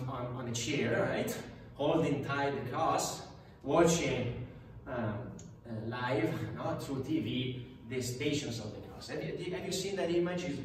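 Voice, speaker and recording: A middle-aged priest giving a parish talk on prayer, often restarting and adding tag questions. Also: male voice, medium pitch, a little hiss or hum. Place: parish classroom. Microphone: far camera. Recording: echoey room from far off.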